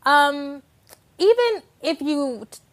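A woman in her thirties hosting a casual home conversation, animated and quick to agree. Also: high voice, background noise. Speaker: host. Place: living room at home. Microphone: wired earbud mic.

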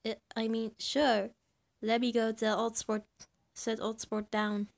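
Woman as teasing cartoon man, cocky, crude, slow drawl, amateur comic dub. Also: on the high side, little pitch movement, gravelly. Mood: awkward, bemused. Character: cocky teasing adult man, crude, calls friend old sport.

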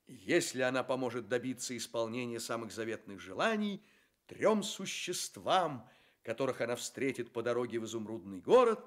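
A man plays a playful storyteller in a children's radio play. His mid-pitched voice swings widely, courteous and theatrical, stretching key words for emphasis. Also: lightly acted. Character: courteous, playful male storyteller addressing fairy-tale characters. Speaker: storyteller and host.

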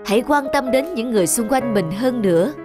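Woman as high-voiced, gently reproachful schoolteacher. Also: lively intonation, brisk, natural voice. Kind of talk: Vietnamese cartoon dubbing, moral lecturing. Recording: music under the voice.

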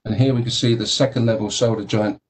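A man giving a technical keynote talk about engineering, speaking in a halting way with many fillers and repeated words. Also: lowish voice, steady tone, a little hiss or hum, poor audio. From United Kingdom. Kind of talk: technical conference presentation.

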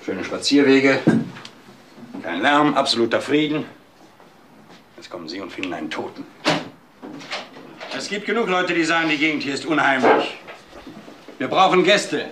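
An actor playing a defensive, irritable country innkeeper who complains and evades in a crime radio drama. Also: male voice, mid-range voice, expressive, normal speed, long pauses, close to an everyday voice. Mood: aggrieved. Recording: roomy, noisy old drama recording.

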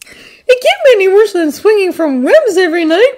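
A woman's voice: very high-pitched, sing-song, normal speed, a little mellow, pitched above the performer's own voice. A woman voicing a bubbly, playful teenage boy in story dialogue, gushing and eager. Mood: playful, excited. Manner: gushing, exclamatory. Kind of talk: acted audiobook-style story dialogue.

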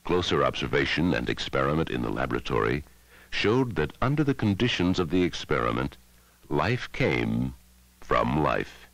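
A man narrating a scripted educational film in a measured, dramatic way, on a 1960s film soundtrack. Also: very deep voice, big pitch swings, a bit bright, dry recording, poor audio. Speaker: documentary narrator.

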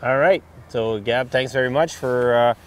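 Male host, middle-aged, casual outdoor gear review, halting phrasing. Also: medium pitch, slight background noise. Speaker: ski test host. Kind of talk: casual product review and interview. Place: outdoors on a snowy ski slope.

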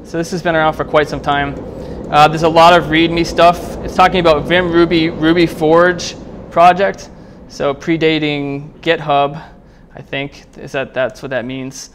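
A man presenting at a programming meetup in a casual, conversational, somewhat halting way as he walks through a live demo. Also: on the high side, little pitch movement, a little hiss or hum. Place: indoor meetup room, speaking before a small group.

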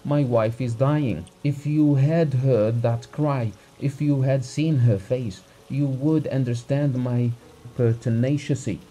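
A man voicing a gentleman who begs a doctor for help in an imploring, flowery, stilted storybook manner. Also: medium pitch, expressive, a little slow, few pauses, voice pushed up, lightly acted, low-quality recording. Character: well-off gentleman desperately begging a doctor for help.

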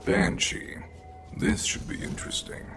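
A man voicing a curious, unsettling robot hunter in a video game, deliberate and oddly polite. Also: close to an everyday voice. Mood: eerie fascination. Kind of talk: scripted video game character dialogue. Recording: loud music behind the voice.

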